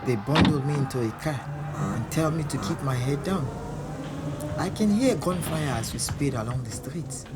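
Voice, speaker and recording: A man's voice: medium pitch, animated, moderate pace, loud music behind the voice, natural voice. An actor playing a proud, combative male boxer, narrating his fight in punchy present-tense phrases for an audio drama. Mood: bitter. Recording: loud background ambience.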